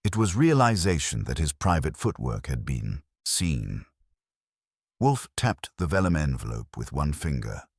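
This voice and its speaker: Very deep-voiced man narrating detective fiction. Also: very animated, unhurried, husky, no echo, studio quality.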